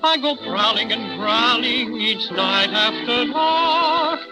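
A man's voice: very high voice, lively intonation, slow pace, heavily acted, low-quality recording. A man playing a boastful little teddy bear, singing a theatrical rhyming song on 1930s radio. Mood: playful, boastful. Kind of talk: 1930s radio novelty song over music. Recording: old 1930s radio recording with loud music.

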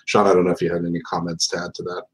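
A man's voice: deep voice, monotone, racing, husky. A man answering on a video call panel from a room with bookshelves, careful and corporate with many fillers. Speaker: technology executive panelist. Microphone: video call webcam.

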